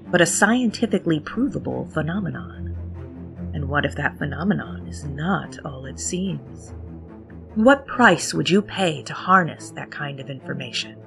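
A woman's voice: low-pitched, gravelly. A woman hosting a podcast, reading a scripted introduction with playful, theatrical phrasing over background music. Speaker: podcast host. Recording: music bed behind the voice.

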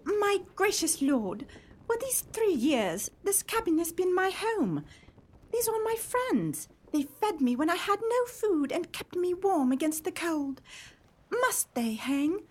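Young noblewoman: very high, lively pitch, gentle and loyal, theatrical radio comedy. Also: takes it slowly, exaggerated voice. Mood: earnest pleading.

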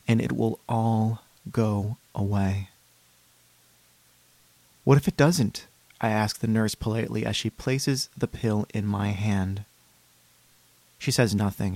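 A man voicing a fussy, polite, unsettled psychiatric patient in a measured reading of a first-person horror story. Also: fairly low pitch, creaky, darkish tone, no echo, heavily acted.

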